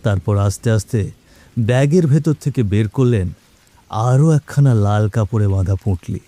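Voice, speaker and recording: Very dark-toned man narrating horror. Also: on the low side, moderate pace, dry recording. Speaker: storyteller. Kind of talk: scripted horror story narration.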